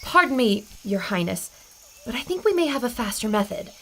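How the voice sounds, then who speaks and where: Woman playing a brisk adventuring crew woman. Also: fairly high pitch, sing-song, pushed and bright, a little hiss or hum, heavily acted, poor audio. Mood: earnest. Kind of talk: old-time radio drama acting.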